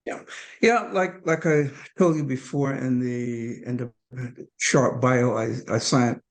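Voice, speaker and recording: A Barbadian man in his seventies, a podcast guest calmly recounting his life in measured, reflective speech. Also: medium pitch. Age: 70s. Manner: calm, measured, reflective with hesitant restarts. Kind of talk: reflective storytelling.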